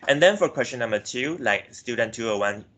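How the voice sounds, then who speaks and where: A man's voice: medium pitch, expressive, a little fast, a bit bright. A young man from Myanmar giving a class presentation, hesitant, with frequent self-corrections and filler words. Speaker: student presenter.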